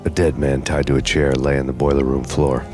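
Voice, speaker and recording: A hard-boiled cop on the run, with an extremely low voice. He narrates a noir game, weary, grim and deadpan, over prominent background music. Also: fairly quick. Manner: deadpan, terse.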